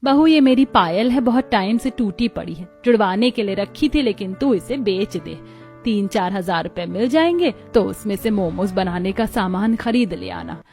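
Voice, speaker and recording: A woman voicing a kindly, self-sacrificing older mother-in-law in a cartoon story, gentle in manner. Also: mid-range voice, lively intonation, moderate pace, soft background music, lightly acted. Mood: caring.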